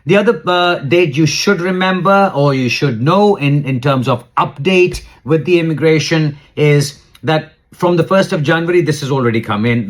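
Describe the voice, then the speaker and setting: Plain-spoken male immigration adviser. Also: a little high. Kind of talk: informal explainer addressed to viewers.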